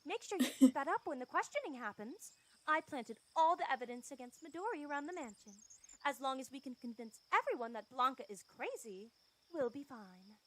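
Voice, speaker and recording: High female voice, lively swooping pitch, genteel scheming cartoon villainess, played by a woman, theatrical. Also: brisk, rough recording. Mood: smug, affectionate. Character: scheming sweet-talking lady villain feigning innocence. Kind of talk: animated fan-drama voice acting.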